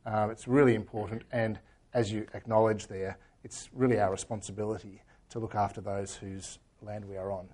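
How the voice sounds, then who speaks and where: A man giving an earnest church talk, hoarse today and often trailing off quietly at the ends of sentences. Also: fairly low pitch, little pitch movement, moderate pace. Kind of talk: talk with book reading.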